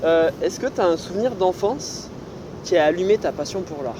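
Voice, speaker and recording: A young woman hosting a casual podcast interview outdoors, relaxed and hesitant, with many "euh" fillers. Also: lowish voice, normal speed, noisy background. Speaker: podcast host. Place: outdoors in a city on a hot day.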